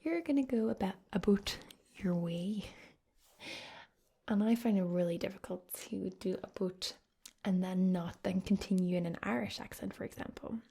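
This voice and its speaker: A woman from Scotland whispering softly into a close microphone, trying out other accents as she chats in an ASMR video. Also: mid-range voice, moderate pace, gravelly, slightly rough. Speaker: ASMR creator.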